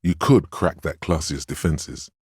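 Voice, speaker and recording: A man voicing a video game character's inner voice of willpower, solemn, measured and reassuring, giving earnest counsel. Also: very deep voice, husky, no echo, studio quality, exaggerated voice. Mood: counselling. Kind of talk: scripted inner-voice narration for a role-playing game.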